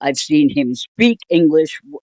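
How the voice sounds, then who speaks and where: An older woman telling a personal story casually, in a rambling, halting, wryly amused way. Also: deep voice, expressive, darkish tone, dry recording. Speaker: storytelling vlogger.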